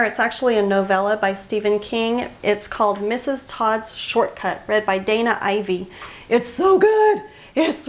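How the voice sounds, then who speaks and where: A woman hosting a book review channel, talking in a chatty, enthusiastic and emphatic way straight to her viewers. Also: medium pitch. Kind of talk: casual scripted book recommendation to camera.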